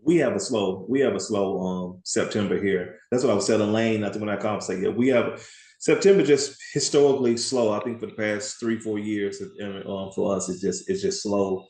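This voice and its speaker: A man's voice: fairly low pitch, fairly quick, a little mellow. Man, party rental owner; casual, rambling, laughs a lot; roomy sound. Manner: chatty, rambling, laughs often. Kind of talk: casual video call roundtable.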